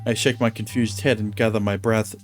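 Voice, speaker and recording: A male streamer who reads visual novel narration aloud in a steady tone and chats casually between lines. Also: on the low side, brisk, faint music behind.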